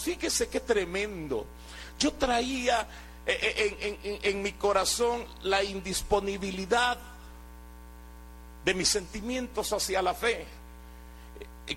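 A man's voice: high-pitched, a bit bright, poor audio. A man from Guatemala, giving an earnest sermon testimony and often restarting his words. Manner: earnest, exhorting, with hesitant restarts.

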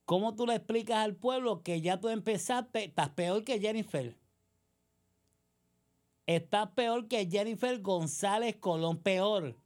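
A woman's voice: medium pitch, measured pace, darkish tone. A woman with a Puerto Rican accent delivering a combative political monologue, sarcastic and accusatory, full of rhetorical questions. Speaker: political commentator.

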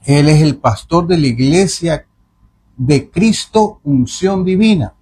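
Effusive radio host. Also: male voice, fairly high pitch, expressive, slow, slight background noise. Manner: warm, effusive, formal address to the guest. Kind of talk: radio interview.

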